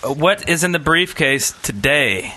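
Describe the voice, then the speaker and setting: A man's voice: fairly high pitch, animated, a little slow, bright, forceful tone. A man co-hosting a podcast in easygoing, informal chat. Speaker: podcast co-host. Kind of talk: casual chat.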